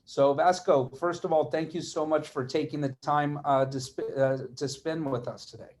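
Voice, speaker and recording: Guy hosting an interview, bit higher voice, friendly questions. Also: fairly even intonation, moderate pace, a little mellow. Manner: reads introductions, asks friendly questions. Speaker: interview host.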